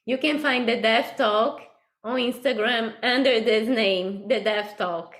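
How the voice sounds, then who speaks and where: Lady talking about her work, kinda high voice, earnest and warm laughs here and there. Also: measured pace. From Brazil. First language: Portuguese. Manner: earnest, reflective, laughs lightly while talking. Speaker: guest, birth and death doula. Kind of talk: casual interview talk.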